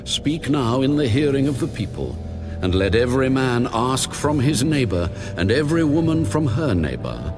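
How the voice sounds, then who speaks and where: An actor playing a majestic, authoritative God in a dramatised Bible reading, proclaiming solemn commands. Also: male voice, mid-range voice, sing-song, moderate pace, music in the background, natural voice. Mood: solemn decree. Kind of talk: dramatised audio Bible acting.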